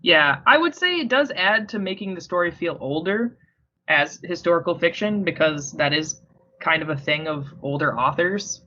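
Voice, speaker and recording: A young woman co-hosting a book podcast, explaining the story in a relaxed, teasing way. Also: fairly low pitch, normal speed. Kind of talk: casual book discussion. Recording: dull lo-fi recording.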